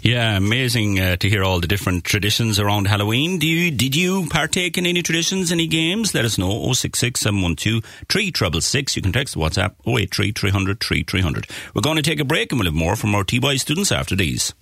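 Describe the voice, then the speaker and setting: A man's voice: fairly low pitch, lively intonation, a little fast, gravelly, few pauses, a bit bright, dry recording, studio quality. A man presenting a local radio show, upbeat as he links segments and reads out contact numbers.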